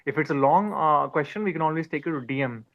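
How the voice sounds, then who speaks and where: Man, fairly high voice, dull lo-fi call sound, polite, hesitant questioner. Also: quick pace, fairly bright tone. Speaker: audience member asking questions. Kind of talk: casual questioning chat. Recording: dull lo-fi online call audio.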